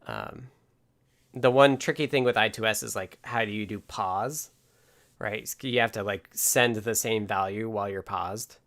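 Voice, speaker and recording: A man's voice: lowish voice, normal speed, fairly bright tone. Casual man hosting a technical stream. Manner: informal, thinking aloud. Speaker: livestream host.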